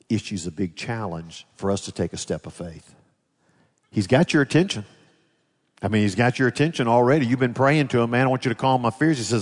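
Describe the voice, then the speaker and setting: A man's voice: medium pitch, a little mellow. A man preaching a sermon in a conversational manner, putting rhetorical questions to his congregation. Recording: reverberant hall sound.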